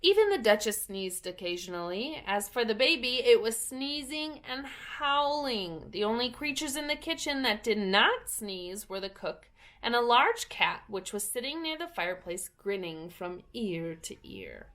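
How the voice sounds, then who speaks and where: A woman's voice: a little high, very animated, a little slow, fairly bright tone. A woman narrating a children's storybook as a story reader.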